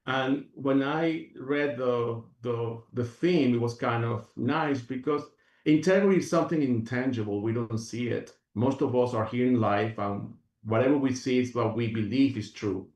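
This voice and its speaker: Guest from Venezuela, earnest rambler with restarts, a bit far off the mic. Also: male voice, medium pitch, normal speed, darkish tone, roomy sound. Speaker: guest, functional medicine practitioner. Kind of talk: reflective interview talk.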